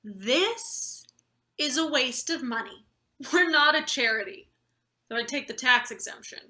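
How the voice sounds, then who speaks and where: A woman's voice: sits high, expressive, unhurried, bright, forceful tone, exaggerated voice. A woman playing a ruthless, irritable boss in a play reading, curt, accusatory and sarcastic. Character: ruthless, irritable female boss, sharp and threatening. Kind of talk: live table read of satirical stage play.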